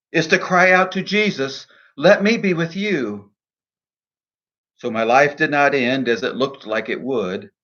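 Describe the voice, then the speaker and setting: Slow-paced man giving an earnest devotional reading. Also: medium pitch, fairly bright tone, lo-fi sound. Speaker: minister and poet reading own poem. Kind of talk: devotional poetry reading and reflection.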